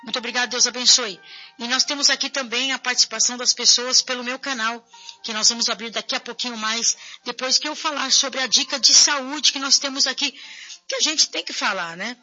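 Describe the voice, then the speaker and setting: Woman, Brazilian accent, hoarse, bright piercing tone, chatty TV host, faint music behind. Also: fairly high pitch, fairly quick, highs cut off. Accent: Brazilian Portuguese. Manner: chatty, addresses viewers directly. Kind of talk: casual talk show, reading viewer messages.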